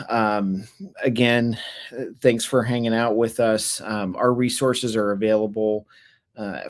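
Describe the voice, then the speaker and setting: A man hosting a webinar in a casual, halting way, with many fillers and restarts, who is losing his voice. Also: fairly low pitch, darkish tone. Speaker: webinar host.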